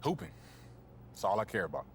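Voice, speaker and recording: Young American man, low voice, easygoing confident hoops rookie for a sports game, faint noise behind. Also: big pitch swings, fairly quick. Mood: self-assured. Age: young adult. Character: confident, easygoing young male basketball draft prospect. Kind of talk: naturalistic video game cutscene acting.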